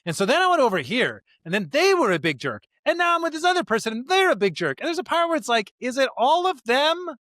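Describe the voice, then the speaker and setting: A man co-hosting a podcast, casually discussing scripture in an informal, animated way with everyday slang and playful paraphrase. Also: very high voice, quick pace, few pauses, no room sound. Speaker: podcast co-host.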